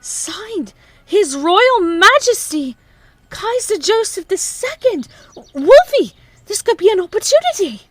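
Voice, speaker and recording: A woman's voice: extremely high pitch, big pitch swings, slow pace, dry recording, soft background music, heavily acted. A woman playing a prim, genteel young wife who speaks formally and teases her husband in an amateur audio drama.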